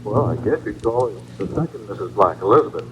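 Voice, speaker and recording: An actor playing an earnest, matter-of-fact detective making a report in a 1970s radio mystery. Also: male voice, lowish voice, animated, fast talker, close to an everyday voice, rough recording. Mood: businesslike. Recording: vintage radio broadcast, faint noise.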